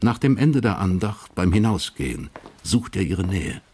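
A man narrating a German historical crime radio drama as a sober storyteller, measured and matter-of-fact. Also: low voice, sing-song, dark tone, breathy edge, full character voice.

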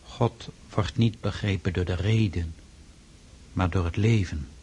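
An actor playing a stern, pious Russian freemason in a radio drama, speaking formally and insistently with a husky voice. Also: male voice, low voice, mellow, slight background noise. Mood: solemn, preaching. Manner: formal, measured, preaching, insistent.

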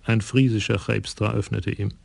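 A male narrator tells the story of a radio crime drama in a low, level, gravelly voice. The delivery stays understated, and a steady broadcast hiss runs underneath it. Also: normal speed.

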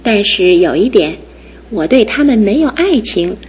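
A sensible, independent young woman student in a radio play, her tone slightly husky and breathy. She teases her sweetheart briskly and talks gently of a steady life. Her pitch sits in a middle range for a woman. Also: rough recording. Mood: tender earnest. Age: young adult. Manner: teasing and brisk, then tender. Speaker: radio drama actress. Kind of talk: 1960s-70s studio radio drama acting.

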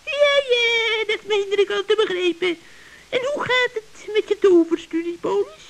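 A woman's voice: extremely high pitch, measured pace, creaky, full character voice. A woman voicing a scheming, cackling witch in an old children's radio play, fawning and tripping over her words. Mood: sly.

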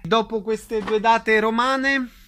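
Higher-pitched male radio interviewer. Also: fairly even intonation, slow pace, a bit bright, slight background noise. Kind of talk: scripted radio introduction and brief questions.

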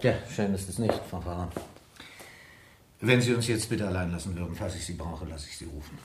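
Actor as brusque police inspector in a roomy recording. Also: male voice, sits low, a little fast, lightly acted. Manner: gruff, impatient, hesitant interrogator. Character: brusque male police inspector questioning witnesses. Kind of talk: German radio play acting. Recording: roomy, faintly noisy studio recording.

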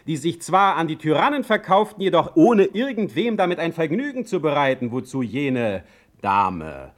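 An actor playing a witty, sardonic, ailing middle-aged poet in a radio play, speaking with eloquent, mocking irony. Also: male voice, fairly high pitch, big pitch swings, normal speed. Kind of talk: radio play acting with letter narration.

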